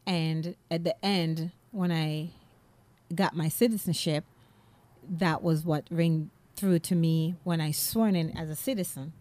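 A woman's voice: low voice, steady tone, measured pace, dark tone. A woman, an actress telling an interviewer a personal story in an earnest way. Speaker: actress and playwright. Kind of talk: conversational interview answer.

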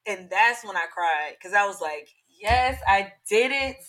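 A young woman with a slightly hoarse voice, telling a personal story in chatty, animated talk full of fillers. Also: mid-range voice, a little slow, low-quality recording. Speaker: podcast guest. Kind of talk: casual storytelling.

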